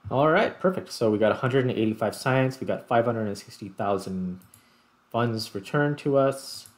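A man giving casual gameplay commentary as host, thinking aloud in broken, halting phrases. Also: medium pitch, lively intonation. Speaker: gaming video host. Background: music.

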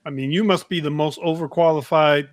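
A man's voice: on the high side, steady tone, brisk, no echo, clean studio sound. A middle-aged man interviewing a guest in casual conversation over a video call. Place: indoor room, video call.

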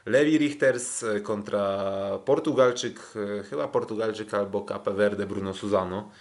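A man hosts a sports podcast. He speaks casually and haltingly, often doubling back on words as he recaps. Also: fairly low pitch, fairly even intonation, a little mellow. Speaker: podcast host.

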